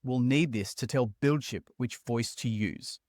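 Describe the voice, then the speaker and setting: A man hosting a software tutorial as a scripted voice-over, explaining steps in an upbeat, conversational way. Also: medium pitch, measured pace, no room sound, clean studio sound. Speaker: tutorial host.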